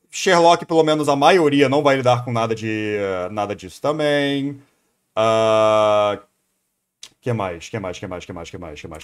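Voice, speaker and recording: A man's voice: mid-range voice, fairly bright tone. A man with a Brazilian accent giving casual live commentary as a reaction streamer, rambling and thinking aloud in slang.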